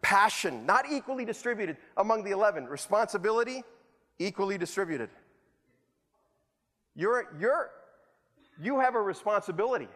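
Male preacher, high voice, rhetorical and self-mocking, echoing hall. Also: expressive, moderate pace, pauses often, a bit bright. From El Salvador. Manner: rhetorical, repetitive, self-deprecating humor. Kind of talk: sermon. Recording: long hall reverb.

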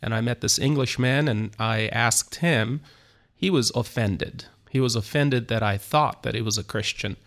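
A middle-aged man with a Turkish accent, a church planter telling his story as an interview guest, speaking haltingly with many fillers and restarts. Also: medium pitch, a bit bright. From Turkey.

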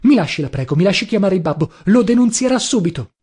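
A man's voice: sits high, lively intonation, quick pace, a little mellow, breathy edge, pitched above the performer's own voice. A man voicing a distressed, proud young woman in an audiobook, pleading with urgent, indignant passion. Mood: urgent, outraged.

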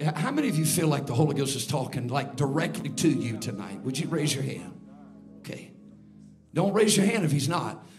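Preaching man with faint music behind. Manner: builds from quiet, low delivery to loud, near-sung shouting. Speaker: bishop preaching. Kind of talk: Pentecostal sermon. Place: church sanctuary before a congregation.

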